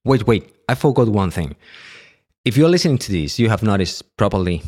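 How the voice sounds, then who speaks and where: A middle-aged man with a Catalan accent, speaking English as a second language in a halting solo monologue with many restarts and fillers. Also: lowish voice, very animated, a little mellow. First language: Catalan and Spanish. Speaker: podcast host.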